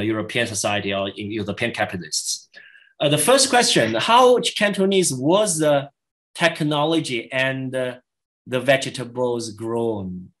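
A middle-aged man, a native Chinese speaker, answering questions after a lecture in halting English with many restarts, over a video call. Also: medium pitch, fairly bright tone. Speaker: guest lecturer. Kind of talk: academic Q&A answers.

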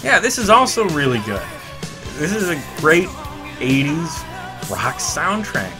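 A man's voice: fairly high pitch, wildly swooping pitch, normal speed, music in the background. A man in his thirties hosting a podcast from a room at home, talking about movie soundtracks as an eager, emphatic fan. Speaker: podcast host. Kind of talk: enthusiastic retro music commentary.

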